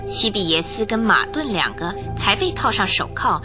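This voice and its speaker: A woman narrating a radio drama with expressive storytelling. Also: medium pitch, normal speed. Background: music.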